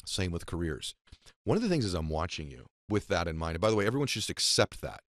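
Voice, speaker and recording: A man's voice: low-pitched, big pitch swings, quick pace, fairly bright tone, no room sound. A man in his fifties hosting an interview, speaking emphatically and motivationally into a studio mic. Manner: emphatic, hand-gesturing, motivational. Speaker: podcast host. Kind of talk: reflective interview talk. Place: radio studio. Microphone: studio boom mic, close.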